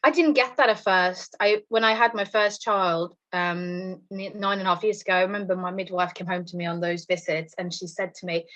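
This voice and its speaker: A woman's voice: fairly low pitch, steady tone, brisk, hardly any pauses, a bit bright, dull audio. A woman in her thirties from Norway with a Norwegian accent, chatting openly and reflectively as a podcast guest. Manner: chatty and reflective, open about herself. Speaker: podcast guest, nutrition coach. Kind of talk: casual chat.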